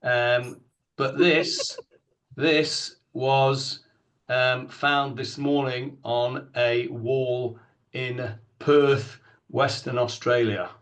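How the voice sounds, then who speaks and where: A man's voice: mid-range voice, little pitch movement, extremely slow, fairly bright tone. A man in an online class, hesitant and apologetic, with many ums. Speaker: participant. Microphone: video call.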